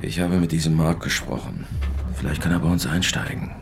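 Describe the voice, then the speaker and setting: An actor playing a cool, calculating crime boss in a radio crime drama, with a deliberate, menacing way of talking. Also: male voice, very deep voice, vocal fry, background noise. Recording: background music under dialogue.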